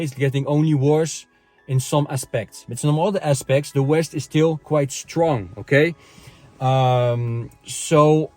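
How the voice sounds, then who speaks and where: A man's voice: medium pitch, a little mellow, no echo. Dry-voiced European man musing casually. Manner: conversational, frequent "okay?" tag questions and fillers. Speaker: channel host. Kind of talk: casual opinion monologue to camera. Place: France.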